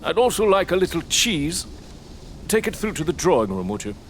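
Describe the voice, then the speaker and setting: An actor playing an arrogant, sardonic aristocratic lord in an audio drama, with a British accent and a pompous, theatrical manner. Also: male voice, a little high, sing-song, a little fast, slight background noise. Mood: haughty, sardonic.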